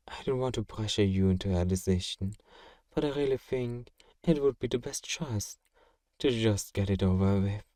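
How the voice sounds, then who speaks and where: A man playing a kind, possessive vampire master in an intimate ASMR roleplay, speaking in a gentle, genteel, coaxing and doting way. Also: on the low side, no echo, close to an everyday voice. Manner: soothing, coaxing, politely doting.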